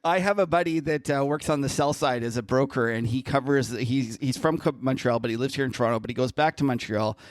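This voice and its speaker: A man co-hosting a casual interview podcast, talking in an easygoing, halting way that often restarts sentences. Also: mid-range voice, dry recording.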